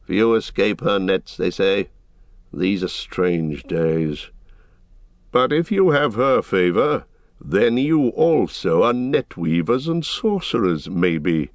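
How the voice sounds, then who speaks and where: A man's voice: fairly low pitch, lively intonation, slow, no echo. A stern, haughty horse-lord acted out by a male reader from a fantasy audiobook. He speaks in formal, archaic phrases with a challenging, suspicious edge. The sound is dry and close. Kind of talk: dramatic audiobook fantasy reading.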